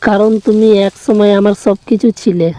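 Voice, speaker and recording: An actor playing a mysterious, soft-spoken long-lost lover in an audio drama, slow, cryptic and intense. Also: male voice, sits high, steady tone, no echo, natural voice. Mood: wistful. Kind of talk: audio story drama acting.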